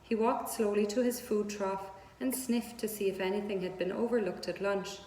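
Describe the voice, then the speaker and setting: A woman's voice: medium pitch, steady tone, normal speed, warm tone. Woman storyteller in an echoing room. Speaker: read-aloud storyteller. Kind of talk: scripted children's book narration. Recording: roomy hall-like echo, audible background noise.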